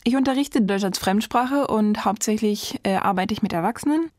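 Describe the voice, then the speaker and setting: German-born woman in her 30s, a language teacher, talking through her answers calmly, dry close sound, mid voice. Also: mid-range voice, no echo. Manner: thoughtful, measured, enthusiastic about teaching. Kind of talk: portrait interview answers.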